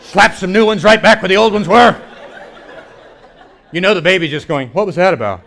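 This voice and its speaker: A man doing stand-up comedy storytelling to a live audience, animated and acting out reactions and dialogue as he goes. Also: sits high, a little fast, gravelly. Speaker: comedian and keynote speaker. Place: church hall in front of a live audience. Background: audience laughter.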